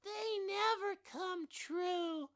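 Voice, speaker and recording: A man reading a storybook voices two young children making wishes over a wishbone, with a raised childlike pitch. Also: animated, takes it slowly, pushed and bright, clear, resonant tone, exaggerated voice. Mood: let down. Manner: raised childlike pitch, eager then let down.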